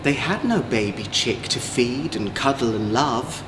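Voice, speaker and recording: A man with an English accent, a drag queen storyteller, reading a children's story in an expressive, gentle way. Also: mid-range voice, unhurried. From Manchester, England. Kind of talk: children's storybook read-aloud. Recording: echoey room, clear background noise.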